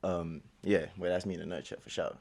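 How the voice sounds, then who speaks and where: A man's voice: sits low, fairly quick, gravelly, a little mellow. A man from Jamaica with a Jamaican accent, a guest chatting casually in an interview with slangy, laid-back talk. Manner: slangy, laid-back, leans on "you feel me". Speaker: guest, media platform owner.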